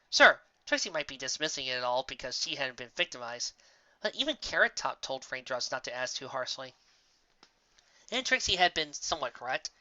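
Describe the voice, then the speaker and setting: Amateur male story reader, bright and tense, occasionally tripping on words, close dull home recording. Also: on the high side, no room sound. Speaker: fan fiction reader. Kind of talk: scripted story narration.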